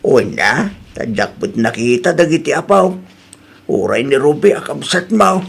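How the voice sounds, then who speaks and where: A man's voice: mid-range voice, big pitch swings, unhurried, gravelly, breathy edge, exaggerated voice. A man with an Ilocano accent playing a hurt, weary elderly father in a family drama. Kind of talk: Ilocano comedy drama dialogue.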